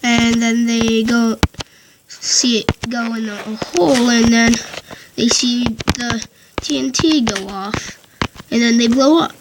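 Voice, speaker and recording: A woman narrating a casual game tutorial into a headphone mic, often stopping to correct herself. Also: a little high. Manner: halting, frequent self-corrections and restarts. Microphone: headphones with built-in mic. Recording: loud background noise on headset mic.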